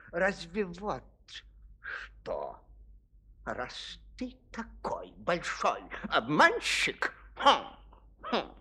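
A blustering old fairy-tale king, pitched high and swooping dramatically. He is pompous and capricious, hems and repeats himself, and has a bright, forceful edge, as in a children's radio play. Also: husky, heavily acted. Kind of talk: theatrical children's audio play acting.

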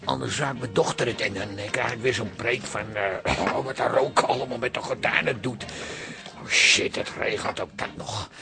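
A man's voice: medium pitch, normal speed, creaky, sharp tone. An actor from the Netherlands playing a fretful middle-aged father and smoker in a radio play, stammering and flustered, with a Dutch accent. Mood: sheepish. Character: fretful smoking father, easily panicked, hapless cafe regular.

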